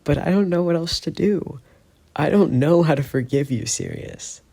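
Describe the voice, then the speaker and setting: A man voicing an angry, hurt teenage boy who argues loudly and pleads with a gravelly voice. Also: mid-range voice, moderate pace. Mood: anguished. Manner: loud, pleading, heated.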